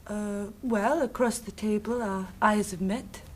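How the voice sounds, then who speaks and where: A woman's voice: medium pitch, unhurried, lightly acted, low-quality recording. An actress answering questions in character as a demure, mysterious young woman witness. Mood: coy. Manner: soft, brief, coy answers. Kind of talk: in-character questioning on a game show.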